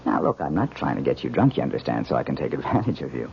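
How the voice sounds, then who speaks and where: A coaxing new husband in a radio drama. His voice is deep and swings expressively, with a casual, doting manner. The old recording is muffled. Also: very animated, quick pace, creaky, slight background noise, full character voice. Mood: playful, affectionate. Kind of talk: 1970s radio drama acting.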